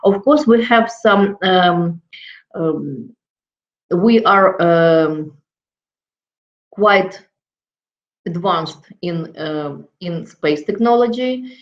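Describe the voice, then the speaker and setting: A middle-aged woman with a Russian accent, giving a lecture over a video call from an office and often pausing to search for words. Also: on the low side, animated. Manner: halting, searching for words with many fillers. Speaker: guest lecturer. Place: office with framed certificates on the wall. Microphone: laptop webcam on a video call. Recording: dull lo-fi video call audio.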